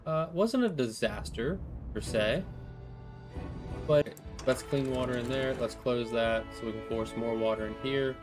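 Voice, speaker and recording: A man's voice: mid-range voice, measured pace. A man hosting a let's play, talking himself through each move in the game and speaking to his viewers in a friendly, direct way. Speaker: gaming channel host. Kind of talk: let's play gameplay commentary. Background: background music.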